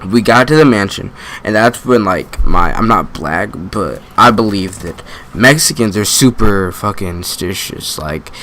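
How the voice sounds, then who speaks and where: A man's voice: on the low side, unhurried, a little hiss or hum. A young man telling a story in a casual, slangy, profane style, acting out asides and joking at his own expense. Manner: animated retelling with quoted asides and self-deprecating jokes.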